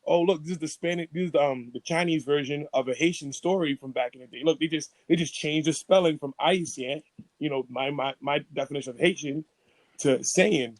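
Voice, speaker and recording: A man's voice: fairly high pitch, fairly quick, no echo, poor audio. A young man co-hosting a podcast, talking in a playful, rambling way with many restarts. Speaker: podcast co-host. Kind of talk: casual chat.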